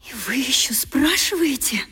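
A woman's voice: high-pitched, lively intonation, moderate pace, bright, forceful tone. An actress playing a wronged, passionate young woman in a radio drama, spurning her former lover with breathless melodrama. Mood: hurt, indignant. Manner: melodramatic, breathless, gasping exclamations.

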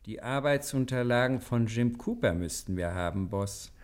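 A man's voice: medium pitch, lively intonation, normal speed, close to an everyday voice. A man playing an eager, ambitious gangster henchman, insinuating and scheming, in an old German radio crime satire. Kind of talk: 1950s German radio play acting.

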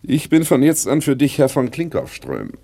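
A man's voice: medium pitch, huge pitch swings, normal speed, vocal fry, mellow, breathy edge, heavily acted. An actor playing a slick, self-important small-time crook turned businessman, pompous and self-satisfied, in a radio play. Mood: grand, businesslike. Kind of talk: 1960s German radio play acting.

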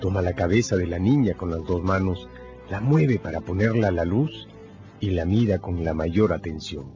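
A man narrating a radio novel in a scripted, dramatic storytelling style with measured phrasing. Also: low-pitched, dark tone, dull audio, faint music behind.

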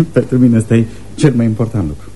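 An actor playing a shy, earnest, devoted young sailor in love, speaking hesitantly and tenderly in a radio theatre style. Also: male voice, mid-range voice, mellow, slight background noise, close to an everyday voice.

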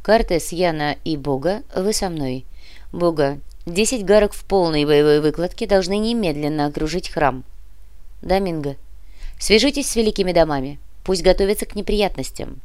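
Lady reading as calm male commissioner in a fantasy audiobook, decisive measured orders, smooth clean voice, dry sound. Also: lowish voice, no echo, close to an everyday voice. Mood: decisive, composed. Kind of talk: audiobook character acting.

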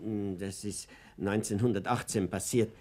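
A man's voice: on the low side, steady tone, a little slow. A man acting a middle-aged postman in a radio play, dryly defiant under a deferential surface. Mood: dry, weary. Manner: dry, deferential on the surface, quietly sly.

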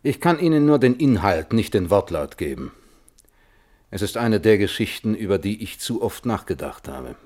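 Low-voiced, thoughtful physicist. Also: male voice, very animated, darkish tone. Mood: guarded, recollecting. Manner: measured, reflective, precise. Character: weary, thoughtful male physicist of fifty under questioning. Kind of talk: German radio play, hearing-room testimony.

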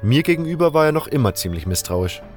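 A man's voice: fairly high pitch, very animated, fast talker, soft background music. A man reading scripted first-person narration for a fantasy audio drama.

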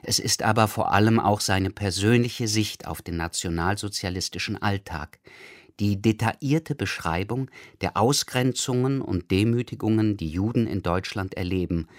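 Low-voiced man narrating, dry sound. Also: no room sound. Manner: factual, measured. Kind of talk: scripted documentary narration.

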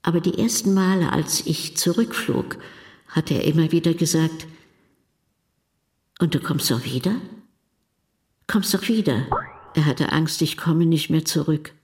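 A woman's voice: low voice, unhurried, pauses often, a little mellow. An actress playing an elderly, caring wife who tells her story in a plain, conversational radio drama monologue. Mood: tender, explanatory. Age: older. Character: elderly devoted wife, caring, worried, quietly amused.

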